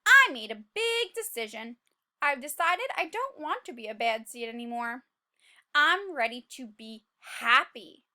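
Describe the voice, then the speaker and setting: A woman's voice: sits high, big pitch swings, unhurried, sharp tone, heavily acted. A woman voicing a tiny, gloomy male sunflower seed who is trying to reform, in a dramatic, confessional storybook read-aloud. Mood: hopeful, earnest. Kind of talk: children's picture book read-aloud.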